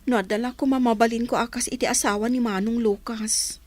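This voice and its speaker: A woman's voice: a little high, fairly even intonation, a little fast, a little mellow, dry recording, natural voice. A woman playing an earnest, concerned daughter-in-law in a radio drama, with an Ilocano accent. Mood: dismayed.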